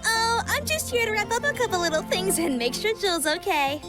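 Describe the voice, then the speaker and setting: A woman's voice: extremely high pitch, very animated, a little fast, music in the background. A woman voicing a friendly young female animal character in a comic dub. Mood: cheerful.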